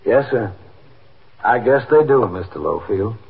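A calm police captain with a very husky, rough voice on a thin vintage radio recording. He is patient and understated, with measured words in 1950s radio drama style. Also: lowish voice, phone-quality sound, heavily acted. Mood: understanding. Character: calm, patient New York police captain who also narrates.

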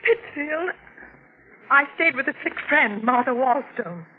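An actress playing a distraught, frightened widow, tremulous and melodramatic, in 1940s radio mystery style. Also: female voice, high voice, lively intonation, unhurried. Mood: tearful, shaken. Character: distraught, frightened newly widowed wife under suspicion. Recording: dull old radio recording.

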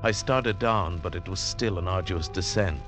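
An actor playing a mountaineer who recounts his ordeal in dramatic, deliberate phrases, in the style of mid-century radio drama. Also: deep voice, fairly bright tone. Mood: hushed wonder. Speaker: narrator and lead actor. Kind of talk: 1940s-50s radio drama narration. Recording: vintage radio recording with music behind.

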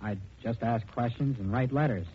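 A dull, faintly noisy 1940s radio recording features an actor as an earnest young working man. He speaks plainly and respectfully at a medium pitch. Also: moderate pace, natural voice. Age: young adult. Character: earnest, loyal young working-class chauffeur. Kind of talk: 1940s radio drama acting.

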